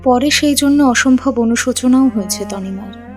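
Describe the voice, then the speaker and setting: A woman's voice: fairly high pitch, normal speed, a little mellow. A woman reading a scripted audio story as its narrator. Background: background music.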